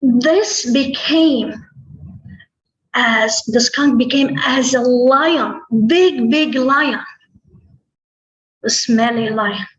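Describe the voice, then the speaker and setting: A woman telling a tall tale live, with deliberate, dramatic phrasing, pauses and repetition. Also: high-pitched. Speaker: storyteller. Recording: roomy, echoey, slightly muffled online-call audio.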